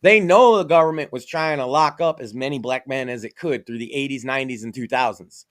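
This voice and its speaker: A man's voice: mid-range voice, brisk, fairly bright tone. A man from Massachusetts co-hosting a podcast, talking casually, with a profane, emphatic and opinionated manner. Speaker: podcast co-host.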